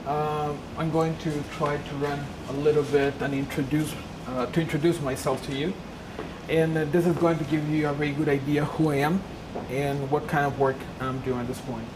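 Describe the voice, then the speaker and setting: A woman's voice: deep voice, fairly even intonation, moderate pace. Woman, lecturer, earnest, second-language English, humming noisy room. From Peru. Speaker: guest lecturer. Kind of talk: public lecture with slides.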